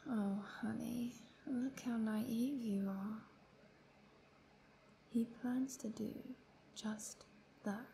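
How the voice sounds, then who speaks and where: A woman acting a captive female vampire in an intimate roleplay audio, playful, vain and menacing, teasing in a breathy, seductive murmur. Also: fairly high pitch, steady tone, drawn-out words, long pauses, dark tone, slight background noise, exaggerated voice. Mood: menacing, condescending.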